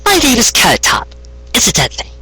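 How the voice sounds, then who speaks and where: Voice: medium pitch, huge pitch swings, fast talker, bright, forceful tone, slightly rough, pitched above the performer's own voice, exaggerated voice. A storyteller voicing a polite, eager young female pony farmer who speaks hesitantly and deferentially. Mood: friendly.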